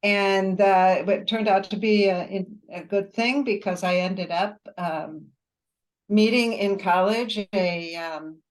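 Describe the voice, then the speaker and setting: An older woman, a professor, telling her background on a video call in a measured, halting, conversational way. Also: mid-range voice, low-quality recording. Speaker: university professor. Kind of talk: personal background told on a webinar.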